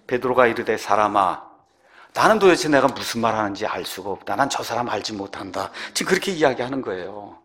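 A man's voice: fairly high pitch, a bit bright. A man preaching an expository sermon, didactic and emphatic, often putting rhetorical questions to his listeners. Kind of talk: expository sermon, retelling scripture verse by verse.

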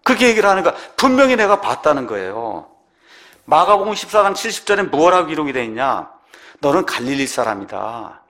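A man's voice: high voice, very animated, fast talker, fairly bright tone. A man preaching an expository sermon, didactic and emphatic, often putting rhetorical questions to his listeners. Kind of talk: expository sermon, retelling scripture verse by verse.

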